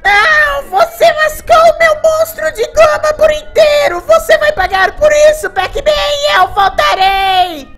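Casting: woman as vengeful game witch, very high and animated, dramatic, indignant. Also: unhurried, soft background music, full character voice. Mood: furious, threatening. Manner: indignant, theatrical. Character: vengeful witch villain, dramatic, cursing a hero. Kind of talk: dubbed-over video game cartoon villain.

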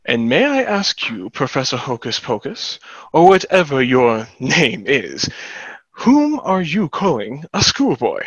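Man acting a brash young businessman, swooping pitch. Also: wildly swooping pitch, unhurried, fairly bright tone, husky, no room sound, full character voice. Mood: indignant. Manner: brash, indignant. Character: hot-headed, proud young American businessman, scornful of tricks. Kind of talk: theatrical play reading. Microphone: headset. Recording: dull headset video-call audio.

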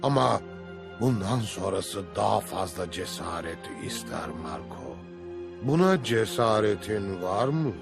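An actor playing a stately, benevolent emperor in a dubbed cartoon, measured and commanding in manner. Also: male voice, lowish voice, very animated, creaky, heavily acted. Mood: solemn, testing. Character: Kaan, stately, benevolent, testing male emperor. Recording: music behind the voice. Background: music.

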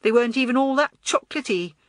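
A woman's voice: on the high side, lively intonation, fast, no echo, pitched above the performer's own voice, natural voice. Woman acting a haughty, spoiled teenage head girl. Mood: blazing, defensive. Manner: imperious, indignant.

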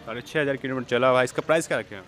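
A man's voice: medium pitch, rapid-fire, a bit bright. A young man hosting an upbeat walk-through vlog at an outdoor used-vehicle lot, echoing the seller's answers back. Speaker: vlogger host. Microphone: handheld lapel-style mic.

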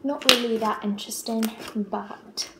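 A woman's voice: a little high, fairly even intonation, unhurried. A teenage girl from Australia chatting casually to camera as a vlogger, hesitant and rambling, often repeating herself. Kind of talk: casual question-and-answer chat to camera. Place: small bare-walled room at home. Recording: roomy, loud background noise, clicks.

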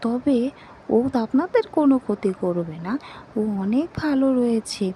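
An actress plays a deferential housemaid in a horror cartoon. Her voice is high and soft-edged, over faint background noise, and she speaks deliberately. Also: animated, natural voice. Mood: confiding, ominous. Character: obliging longtime housemaid with an eerie secret.

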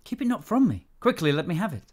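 A man's voice: sits high, big pitch swings, fast talker. An actor playing a proud, passionate nobleman in a declamatory classical radio drama style. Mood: anxious. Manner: declamatory, impassioned delivery. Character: Macduff, proud Scottish nobleman stricken by grief and vengeance.

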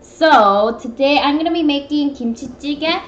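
A woman's voice: sits high, moderate pace, slight background noise. A young woman chatting casually and informally as the host of a live home cooking stream, meandering as she talks. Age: young adult. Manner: informal, meandering, greeting viewers. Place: small home room, indoors at a table. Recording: echoey, dull webcam stream, background music.

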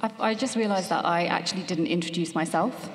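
A woman moderating a conference panel, polite and measured. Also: fairly low pitch, slight background noise. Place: large conference hall stage. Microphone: handheld mic. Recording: echoey hall.

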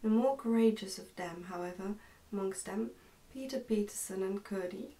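Soft, mellow young woman reading ASMR. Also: medium pitch, moderate pace, far from the mic, poor audio. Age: young adult. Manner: soft, hushed, careful read-aloud delivery. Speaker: ASMR narrator reading a book aloud. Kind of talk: ASMR storybook reading, soft-spoken and whispery. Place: indoors, small plain room.

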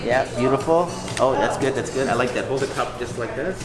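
A man in his thirties hosting a casual behind-the-scenes vlog, chatting and coaching a model in a small coffee shop. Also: mid-range voice. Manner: chatty, upbeat, coaxing a model with quick praise. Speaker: photographer and vlog host. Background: music.

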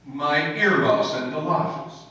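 A man's voice: fairly high pitch, slow pace, crowd noise. A man playing a wry, put-upon husband in an old-time radio comedy, performed on stage. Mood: grumbling. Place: indoor hall stage before seated audience. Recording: roomy, distant stage recording, faint noise.

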